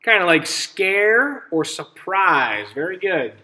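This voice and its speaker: A man reading a picture book aloud to children as a teacher, pausing to ask simple questions and explain things. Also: sits high, big pitch swings, sharp tone. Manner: instructive, checks understanding with simple questions.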